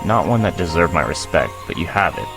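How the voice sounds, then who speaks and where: An actor playing a proud, defiant leader of rebel fighters in an audio drama, stubborn and intense. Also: male voice, low voice, animated, a little fast. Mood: grudging respect. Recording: loud dramatic music underneath.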